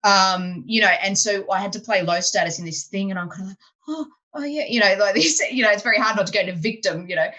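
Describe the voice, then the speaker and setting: A woman hosting an improv podcast, talking casually over a video call, chatty and animated, full of "you know" and "like". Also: mid-range voice, quick pace, dull audio. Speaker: podcast host and improv teacher. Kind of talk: casual interview chat.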